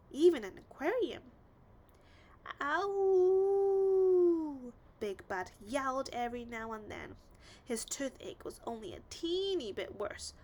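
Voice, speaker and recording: A woman narrating a children's story aloud in an expressive read-along style. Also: a little high.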